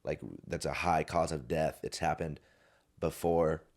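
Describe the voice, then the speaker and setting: A young man in his twenties with a very deep, low voice talks on a podcast. He tells a personal story in a rambling, casual way, circling back and restarting his sentences. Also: level tone, measured pace, darkish tone. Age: 20s. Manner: rambling, many restarts and fillers. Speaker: podcast guest. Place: podcast studio.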